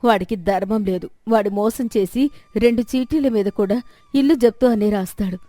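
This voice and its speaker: A woman's voice: mid-range voice, moderate pace, warm tone, no room sound, natural voice. Woman acting a composed clever wife, dry close sound. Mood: reassuring, confident. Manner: reassuring, composed. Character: clever, calm, confident village wife. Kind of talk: dramatized moral story.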